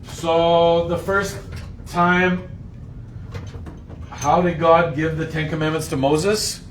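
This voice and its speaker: A man leading an informal Bible lesson, putting questions to his listeners in a slangy, jokey way and acting out lines loudly. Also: fairly high pitch, flat delivery, takes it slowly, low-quality recording. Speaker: Bible study host and teacher. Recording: voice over clear background noise.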